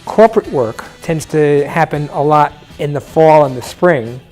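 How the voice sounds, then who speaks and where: Mellow-voiced older man from New York. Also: fairly high pitch, soft background music, low-quality recording. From New York City. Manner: relaxed, reflective, with pauses and fillers. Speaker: freelance audio engineer. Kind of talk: documentary interview. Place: living room indoors.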